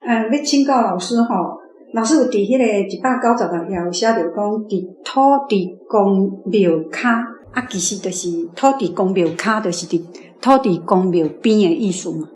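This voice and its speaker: A Taiwanese-accented woman asks questions in a discussion. Her mid-pitched voice comes from an echoey room with faint noise. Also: normal speed, a little mellow. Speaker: reading club member asking questions. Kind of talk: conversational questioning.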